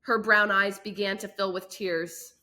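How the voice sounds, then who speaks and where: A woman reading a children's book aloud as a host, with expressive, enunciated storytelling and emphatic phrasing. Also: mid-range voice, a little slow.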